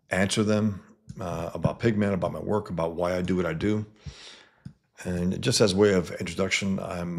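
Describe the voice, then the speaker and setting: A cartoonist from the Bronx, talking casually to followers on a livestream, with many fillers and restarts. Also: male voice, low-pitched, vocal fry. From the Bronx, New York. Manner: greets viewers mid-talk.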